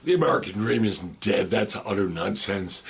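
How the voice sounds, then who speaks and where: A man speaks emphatically in a promotional testimonial, dismissing doubters with conviction. His voice is husky and breathy, with a lively, swinging pitch. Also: moderate pace. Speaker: resident.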